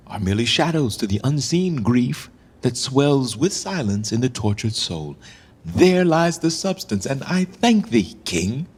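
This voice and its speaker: A man's voice: mid-range voice, darkish tone, breathy edge, long reverb tail. An actor playing a proud, bitterly ironic deposed king who mourns himself in theatrical Shakespearean verse for radio. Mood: bitter, musing. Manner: theatrical verse delivery, musing, mocking pauses. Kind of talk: Shakespearean radio drama acting.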